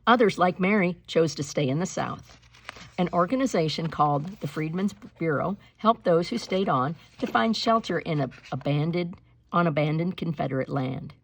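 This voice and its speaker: A woman reading a storybook aloud like a teacher, with deliberate, expressive phrasing and instructive delivery. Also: low voice, vocal fry, slightly rough. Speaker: teacher reading a picture book aloud to pupils.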